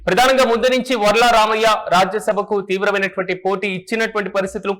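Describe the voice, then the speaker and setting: A man's voice: sits high, steady tone, quick pace, fairly bright tone. A man presenting news and political analysis in a formal, repetitive manner.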